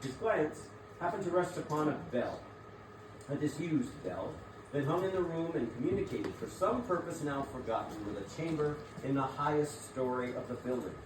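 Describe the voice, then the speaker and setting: A man narrating a classic tale as part of a dramatic theatrical reading. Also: a little high. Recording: echoey room, distant mic, clear background noise.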